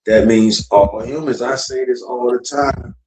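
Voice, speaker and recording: A man's voice: medium pitch, fairly even intonation, a little slow, darkish tone. A man from South Florida hosting a casual talk show, lecturing loosely and swearing freely. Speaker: podcast host. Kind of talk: casual chat. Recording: distant mic with faint noise.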